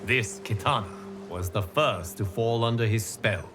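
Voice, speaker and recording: An actor playing a calm, solemn male fire god who briefs the player in a game cutscene, speaking in measured, formal words with music behind. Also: fairly low pitch, lightly acted. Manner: measured, grave, formal diction. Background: game score music.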